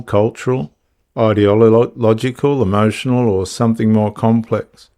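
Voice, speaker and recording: A man hosting the show, with a low voice that stays flat and even. He reads a scripted opening, then keeps interrupting his guest to argue his own points. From Australia. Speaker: host.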